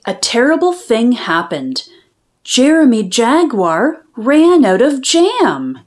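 Slow, lilting woman reading to children. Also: mid-range voice. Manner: expressive, deliberate, sing-song phrasing for preschoolers. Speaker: children's read-aloud storyteller. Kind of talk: scripted picture-book read-aloud for young children.

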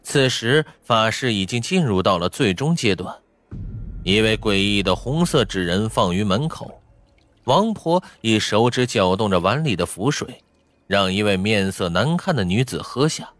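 A man's voice: on the low side, animated, moderate pace, a bit bright, dry recording. A man narrating fiction from a script as a dramatic audiobook. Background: faint background music.

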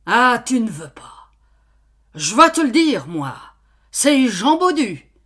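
A stubborn brute of a male farmer in a storybook, voiced by a woman, barking questions in a loud, insistent voice. The voice swings widely in pitch, yet keeps an unhurried pace. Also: big pitch swings, full character voice. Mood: furious. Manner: loud, angry, interrogating. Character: brutish stubborn middle-aged male farmer, master.

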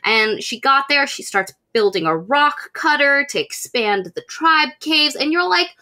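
A young woman with a fairly high, very bright voice co-hosts a podcast from a room at home. She retells books casually and comically, in an animated way with mock quotes. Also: measured pace. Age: young adult. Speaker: podcast co-host. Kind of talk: casual comic book recap.

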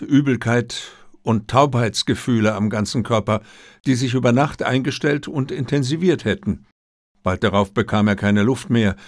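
A man voicing a formal, discreet Japanese diplomat in an audiobook, with courteous, measured wording. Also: mid-range voice, no echo, studio quality, lightly acted. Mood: grave. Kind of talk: audiobook character dialogue.

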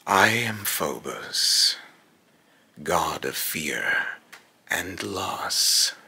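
A very low, gravelly god of fear and loss, acted by a young man. He is a vengeful male tyrant who delivers solemn, pompous villain pronouncements slowly, with a forceful, rough edge. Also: expressive, full character voice. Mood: cold, commanding. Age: young adult. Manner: slow, pompous, solemn, repeats phrases in retakes. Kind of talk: scripted villain monologue, voice-acting takes. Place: small indoor room at home.

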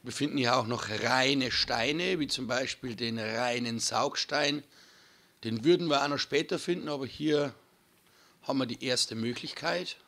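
A hoarse man talks through a video game in a relaxed, chatty way, calling his viewers friends. His voice has a bright, forward edge despite the hoarseness. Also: mid-range voice, a little slow. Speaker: gaming channel host. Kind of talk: casual let's-play commentary.

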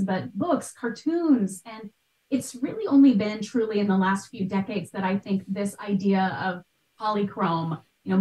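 A woman's voice: medium pitch, a little mellow. An American woman, around her thirties, explaining things conversationally as a podcast guest, with emphasis on key words. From United States. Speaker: podcast guest, art history expert. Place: small bright room at home. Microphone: video call. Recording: roomy video-call sound.

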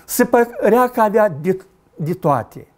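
Older man preaching a dramatic sermon. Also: expressive. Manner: dramatic preaching with wide swings in pitch and loudness. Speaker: pastor. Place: studio with dark backdrop, at a lectern.

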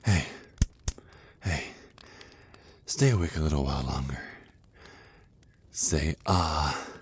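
Man acting a very deep, husky jealous vampire ex. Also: exaggerated voice. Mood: coaxing, commanding. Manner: slow, taunting, breathy murmur. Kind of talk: intimate ASMR roleplay acting.

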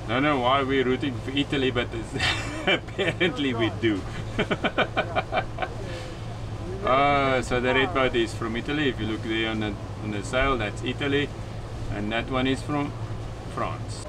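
A man's voice: mid-range voice, unhurried. A man hosting a sailing vlog, casually commenting live on a regatta from the deck of a boat. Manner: excited, commenting on a race as it happens. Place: outdoors on a sailing catamaran at sea. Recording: windy outdoor noise. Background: wind and water noise.

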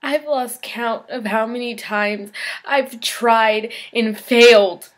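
A woman performing a dramatic monologue as a despairing young woman, speaking in a halting, emotional confession. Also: a little high, steady tone. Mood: bitter, rising anguish. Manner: halting, emotional, swinging from hushed to anguished. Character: despairing suicidal young woman baring her pain in confession.

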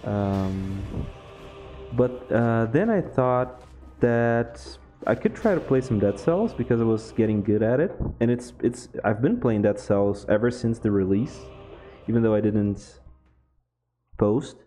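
A young man from Brazil, a Portuguese speaker with a Brazilian accent, chatting casually over gameplay, relaxed and laughing often. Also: mid-range voice, measured pace, soft background music. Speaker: gaming YouTuber.

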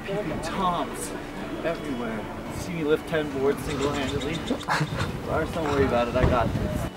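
A man's voice: on the high side, normal speed, over loud music. A young man chatting and joking casually, picked up by a camera microphone. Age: young adult. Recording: echoey, with clear background noise.

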